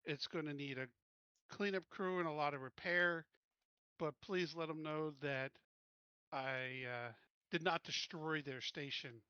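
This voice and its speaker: A man's voice: fairly high pitch, measured pace, bright, forceful tone, smooth, no echo, lo-fi sound. A man playing a tabletop roleplaying game, chatting casually as a player at the table.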